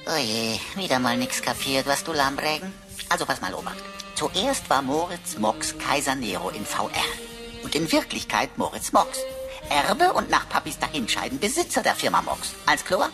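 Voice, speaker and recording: A woman's voice: very deep voice, very animated, moderate pace, over music. A woman playing a cheeky, mocking computer sidekick in a radio play, sarcastic, slangy and theatrical. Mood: smug lecturing. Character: cheeky, mocking talking computer sidekick, flippant know-it-all. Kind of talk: German radio play acting.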